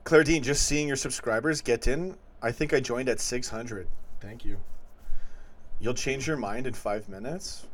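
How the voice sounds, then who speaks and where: A man's voice: mid-range voice, measured pace, fairly bright tone. A man hosting a livestream, reading chat comments aloud and arguing back in a casual, combative way. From not yet been to Liverpool. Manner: argumentative, reacts to chat comments, laughs often.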